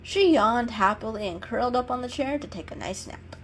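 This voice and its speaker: A woman storyteller giving an expressive, unhurried dramatic reading of an animal story. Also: on the high side, a little hiss or hum, low-quality recording.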